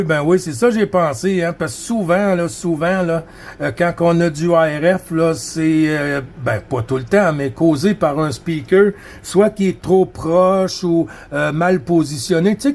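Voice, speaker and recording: A man with a Quebec French accent chatting casually as a CB radio operator, friendly and rambling. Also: fairly high pitch. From Quebec, Canada. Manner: friendly, rambling, frequent Quebec filler words.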